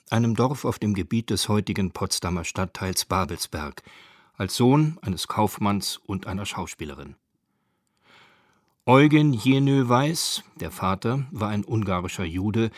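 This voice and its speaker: A man narrating a scripted radio documentary in a measured, factual way. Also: fairly low pitch, no room sound, clean studio sound. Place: dry studio.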